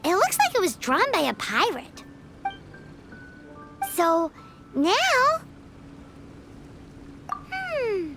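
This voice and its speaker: A woman's voice: very high voice, huge pitch swings, extremely slow, long pauses, exaggerated voice. An actress voicing a tiny, chatty and bossy female fairy companion in a video game, who pouts and talks about herself in the third person. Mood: chirpy. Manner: refers to herself in the third person, pouty and chirpy. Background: game music.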